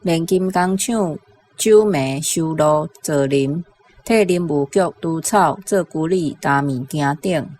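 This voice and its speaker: A woman with a very low-pitched voice gives a translation as a teacher. There is slight ambient noise in the recording. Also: slow, darkish tone. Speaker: language teacher giving a translation.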